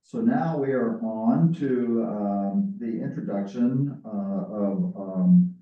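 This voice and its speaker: A man chairing a library board meeting, speaking haltingly with frequent filler sounds. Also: fairly low pitch. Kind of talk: running a public board meeting. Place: council meeting room. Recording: echoey chamber, distant tinny mic.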